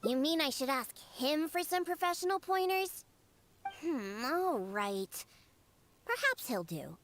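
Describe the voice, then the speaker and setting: A woman's voice: sits high, very animated, slow pace, fairly bright tone, heavily acted. A woman voicing a cute, proud, stubborn young cat-girl bartender in an anime game, speaking in an emphatic, huffy way. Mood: reluctant.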